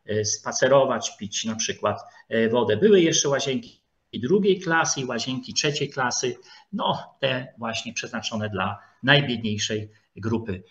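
A man giving an online lecture with slides, speaking haltingly with frequent drawn-out filler sounds. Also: mid-range voice, animated, fairly bright tone. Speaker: lecturer, historian. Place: online video call. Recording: dull, lo-fi video call audio.

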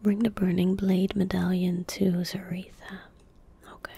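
A young woman speaking in a soft, breathy whisper, giving relaxed ASMR game commentary close to the mic. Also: medium pitch. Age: young adult. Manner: relaxed, unhurried murmuring. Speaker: ASMR gaming streamer. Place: small indoor room at a computer desk. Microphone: large microphone close to the mouth. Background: keyboard typing and mouse clicks.

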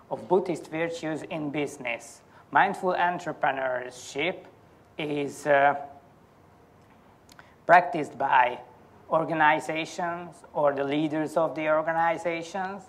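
A man in his thirties giving a formal academic presentation in a halting, deliberate manner. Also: fairly high pitch. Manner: halting, careful, deliberate delivery. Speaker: academic conference speaker. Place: indoor university lecture hall.

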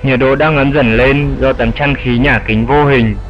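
Man playing elderly grandfatherly bat, mid-pitched, patient teacher, educational cartoon dub, faint noise behind. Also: steady tone, a little slow, lo-fi sound, natural voice. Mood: patient, explaining. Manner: explanatory, patient. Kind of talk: dubbed educational cartoon dialogue.

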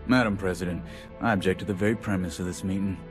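A man acts out a combative cabinet politician in a sci-fi video game. His lowish voice is formal and pointed, dismissive of what he hears. Music sits faintly behind it. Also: fairly even intonation, fast, lightly acted. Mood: skeptical, indignant. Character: skeptical, combative male politician. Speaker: game character, cabinet member.

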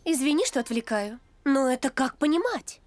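A stern mother dog in a family cartoon. The voice is a little high and quite melodic, firm and dryly reproachful. Music plays underneath. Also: expressive, normal speed, a little mellow, no room sound. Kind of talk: children's animated series acting.

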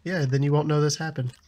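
A man in his thirties with a slightly raspy voice from a lingering cough, chatting casually over a building game and laughing often. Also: on the high side, warm tone. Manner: chatty, laughs often, frequent ums and restarts. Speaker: gaming YouTuber. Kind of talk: casual gameplay commentary.

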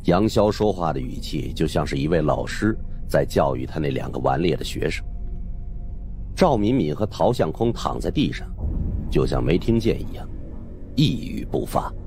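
A man with a very low voice narrates a supernatural suspense story. His intonation swings widely and dramatically, with music playing underneath. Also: sing-song, normal speed. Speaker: audiobook narrator.

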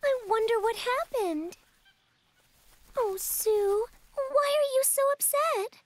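A woman voicing a small, sweet young animal in a children's cartoon, with an earnest, gentle, childlike manner. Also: very high voice, slow pace. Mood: concerned.

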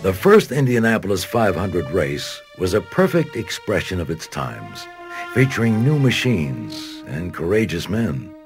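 An American man narrating a documentary from a script in a measured, dramatic storytelling manner. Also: low voice, wildly swooping pitch, over music.

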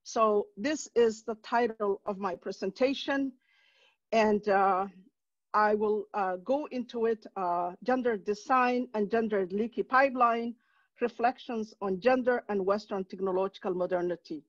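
A middle-aged woman from Somalia with a Somali accent, giving an academic lecture on a video call from her home, halting with many fillers and restarts. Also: medium pitch, dry recording. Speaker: guest lecturer, professor. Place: home room, indoors. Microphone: headset. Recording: dull dry video-call audio.

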